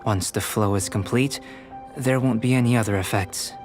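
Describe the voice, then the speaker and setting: A man playing a composed, self-assured young alchemist in a video game, speaking in a measured, precise, scholarly manner. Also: on the low side, loud music behind the voice, lightly acted. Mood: matter-of-fact. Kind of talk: video game character acting.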